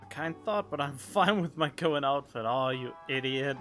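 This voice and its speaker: Casual male streamer, mid-pitched, joking game commentary with faint music behind. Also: normal speed, fairly bright tone. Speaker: gaming streamer. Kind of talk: let's play commentary, reading dialogue choices aloud.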